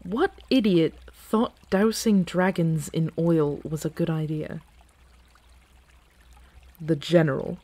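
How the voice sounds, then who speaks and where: A woman playing an earnest, playful dragon in human form in a fantasy ASMR roleplay, with a casual, teasing, halting delivery. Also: sits low, dark tone, no echo. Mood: amused, casual.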